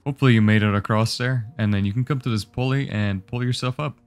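A young man with a fairly low voice narrates a game guide. He explains each step in an easygoing, instructive way, casual and unhurried. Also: clean tone, faint music behind.

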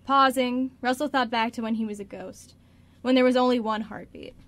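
A woman narrates a radio drama from a script. Her voice sits slightly on the high side as she tells the story between the characters' lines. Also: steady tone, normal speed, a bit bright.

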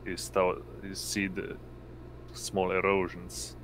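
A man runs a tabletop roleplaying game in a low voice. He speaks slowly and haltingly as he narrates. A clear background hum sits behind him. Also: completely flat delivery. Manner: halting, often pausing to find words. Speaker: game master.

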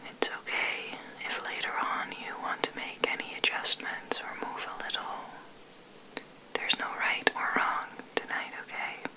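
Whispering woman guiding slow sleep meditation. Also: poor audio. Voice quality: breathy whisper. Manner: soothing, gentle, unhurried with long pauses. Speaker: meditation guide, ASMR host. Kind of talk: ASMR guided sleep meditation.

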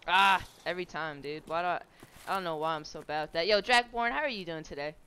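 A woman in her twenties with a low voice, streaming a game from home on a headset, chatting loosely with viewers, swearing and laughing. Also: quick pace, bright, forceful tone, slight background noise. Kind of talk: casual chat while gaming.

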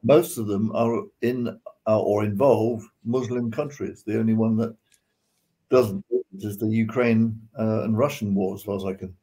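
A man's voice: on the low side, fairly even intonation, moderate pace, dark tone, breathy edge. A man giving his views casually as a panellist in a discussion over a video call. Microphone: video call microphone. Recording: video call with faint noise, clicks.

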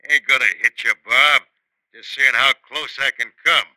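A sharp-voiced, cocky outlaw boss. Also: male voice, medium pitch, steady tone, unhurried, no echo, rough recording. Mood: cocky menace. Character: cocky, menacing Old West outlaw leader, a man. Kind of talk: 1950s radio acting. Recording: bright, dry 1950s radio recording.

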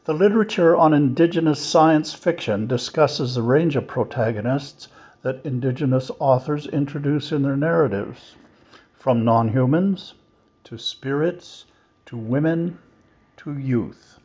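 Man, husky voice, medium pitch, scripted reading, dull lo-fi recording. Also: unhurried, a little mellow. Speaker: reader in a church service.

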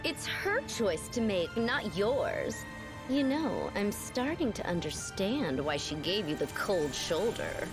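A woman's voice: mid-range voice, big pitch swings, takes it slowly, over music. A woman voicing a smug, taunting young villainess in a video game, with a sarcastic, condescending drawl. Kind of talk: video game cutscene acting.

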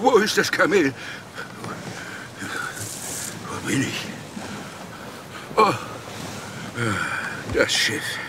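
An actor playing a hapless, sneaky, dim-witted cartoon villain who whines and exclaims to himself in a children's audio drama. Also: male voice, high voice, background noise, heavily acted. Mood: groggy, confused. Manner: exclaiming, talking to himself, whining.

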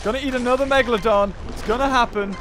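A young man giving excitable gameplay commentary, often shouting and laughing. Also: extremely high pitch, fast talker, noisy background. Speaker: gaming youtuber.

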